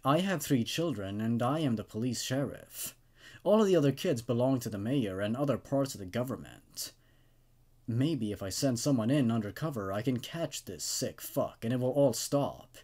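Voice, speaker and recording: A man's voice: medium pitch, animated, moderate pace, a little mellow. A man hosting horror story readings, moving between narration and informal, casual commentary. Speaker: host narrating horror stories.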